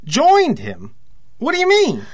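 A man's voice: very high-pitched, huge pitch swings, takes it slowly, full character voice. An actor playing an intense, guilt-ridden man confessing to murder in an audio drama. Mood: shocked, distraught.

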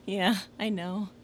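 A woman's voice: mid-range voice, dark tone, breathy edge. An actress playing a hopeful, teasing young woman in a comedic audio drama, talking in a casual, emphatic way. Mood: amused, defensive.

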